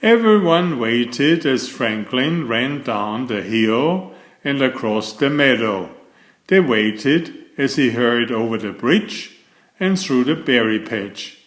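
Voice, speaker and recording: A man's voice: medium pitch, animated, slow pace. A man reading a children's bedtime story as a scripted narrator, recorded in an echoey room.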